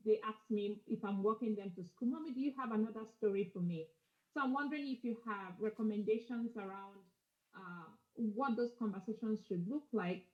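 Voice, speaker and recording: A woman's voice: medium pitch, a little fast. A woman from Nigeria politely asking a question on a video call. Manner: polite, warmly appreciative. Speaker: audience member asking a question. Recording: dull video call audio.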